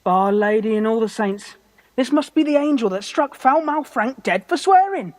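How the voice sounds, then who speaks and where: A woman's voice: on the high side, expressive, normal speed, close to an everyday voice. A woman acting a superstitious, God-fearing army captain in a radio play, earnest and plain-spoken. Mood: awed. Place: actor's home, recorded remotely.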